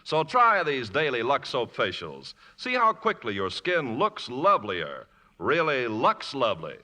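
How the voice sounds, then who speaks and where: A man's voice: medium pitch, huge pitch swings, unhurried, sharp tone. A man announcing on a 1950s radio show, reading scripted ads in a polished, salesmanlike way. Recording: old radio broadcast in reverberant hall.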